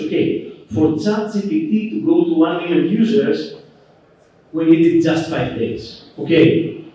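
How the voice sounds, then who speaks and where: A man with a Greek accent giving a relaxed, conversational conference keynote, dotted with "okay" and "uh". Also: a little high. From Greece. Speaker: keynote speaker. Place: conference hall. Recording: roomy, distant, dull hall recording.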